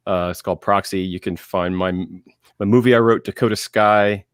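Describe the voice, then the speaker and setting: A man chatting casually as a podcaster on a panel, with a halting, self-correcting delivery. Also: low voice, steady tone, no room sound, clean studio sound.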